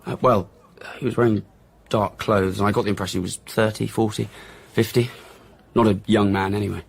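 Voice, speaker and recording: Gravelly, cynical journalist man. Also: on the low side, little pitch movement, fairly quick. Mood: cynical, offhand. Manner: grudging, vague, blunt. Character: cynical, blunt male journalist witness, offhand. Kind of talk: naturalistic radio drama acting.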